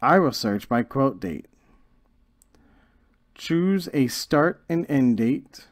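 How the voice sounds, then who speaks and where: A man narrating a scripted software tutorial in a measured, instructional way. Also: mid-range voice, lively intonation, no room sound, clean studio sound. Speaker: software trainer.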